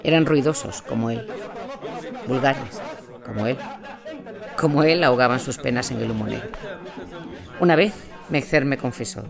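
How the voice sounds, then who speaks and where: A man's voice: mid-range voice, normal speed, creaky, pauses often, a crowd in the background. A man delivers scripted dramatic narration of a war story. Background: instrumental music.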